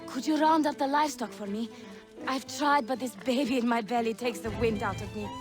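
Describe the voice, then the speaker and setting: Lady doing a nice polite settler woman for a game, kinda high gentle voice, music behind. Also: brisk, close to an everyday voice. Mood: grateful, content. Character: cheerful, friendly colonial settler woman. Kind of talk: video game cutscene acting.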